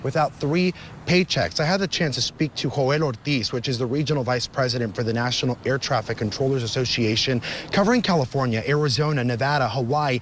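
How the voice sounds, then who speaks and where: A man's voice: medium pitch, brisk, fairly bright tone. Guy doing a live tv news report outside, formal and emphatic, some traffic noise behind him. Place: outdoors on a city street at evening.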